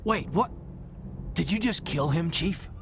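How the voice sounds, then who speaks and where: An actor playing an uneasy soldier squadmate in a video game. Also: male voice, fairly high pitch, animated, measured pace, background noise. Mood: uneasy, alarmed.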